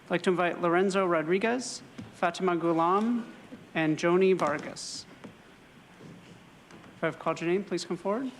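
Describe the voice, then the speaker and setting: A man reading out meeting procedure as clerk of the board, steady and formal. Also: high voice, normal speed, pauses often, in a large hall, a little hiss or hum. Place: government board chamber.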